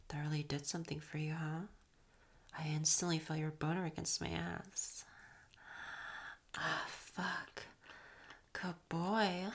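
A young adult woman performing an intimate audio roleplay in a soft, teasing whisper with breathy murmurs and quiet chuckles. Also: on the low side.

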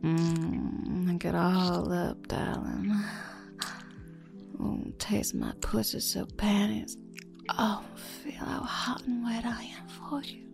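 A woman voicing a flirty, teasing adult server in an intimate audio roleplay, talking in a breathy whisper full of endearments. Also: on the low side, level tone, takes it slowly, a little mellow, faint music behind.

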